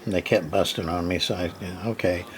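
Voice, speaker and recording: A folksy man talks through a hands-on repair in a casual, unscripted way. He halts and thinks aloud as he demonstrates, with some steady background noise behind his voice. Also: sits low, a little fast.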